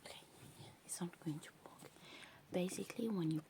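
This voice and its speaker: A woman with English as a second language, whispering softly in a rambling ASMR show-and-tell full of fillers. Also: lowish voice, a little fast. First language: not English. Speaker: ASMR creator.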